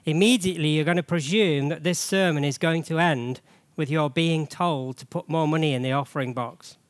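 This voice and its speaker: A man gives a chatty, joking church sermon while fighting a cold that has nearly taken his voice. His speaking voice sits somewhat high. The sound is dry and close. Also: no echo. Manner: chatty, jokey, frequent rhetorical questions. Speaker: church treasurer giving a sermon.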